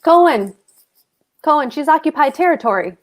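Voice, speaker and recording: Woman playing a pompous husband, very lively pitch. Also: moderate pace. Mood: indignant. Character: blustering, self-important German husband, gruffly sentimental. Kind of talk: live cold table read of a stage comedy.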